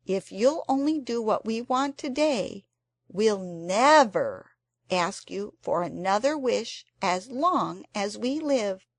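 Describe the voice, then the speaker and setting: A woman's voice: mid-range voice, lively intonation, takes it slowly, no room sound. A woman voicing an earnest young girl in a storybook, pleading and a little dramatic. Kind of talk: storybook character voice.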